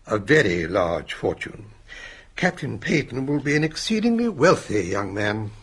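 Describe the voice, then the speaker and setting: An actor playing a formal, courteous family solicitor with a measured manner in a radio drama. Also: male voice, mid-range voice, very animated, slightly rough. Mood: formal, businesslike.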